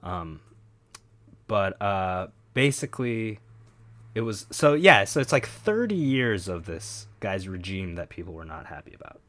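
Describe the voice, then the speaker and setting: A man with a fairly low voice co-hosts a relaxed podcast. He reads passages aloud from a web page and keeps breaking off for wry asides. The sound is close and dry, with no room around it. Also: a little slow, no room sound. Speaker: podcast co-host. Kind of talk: casual chat, reading aloud from a web page.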